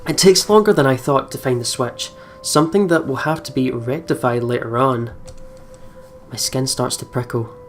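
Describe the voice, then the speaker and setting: Female let's player, very low register, expressive story reading mixed with giggly commentary, faint game music. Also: moderate pace. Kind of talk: gaming commentary, reading story narration aloud.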